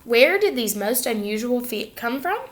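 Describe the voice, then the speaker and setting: A woman teacher reading a picture book aloud to students, expressive and instructive, pausing to put questions to her listeners. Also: fairly high pitch.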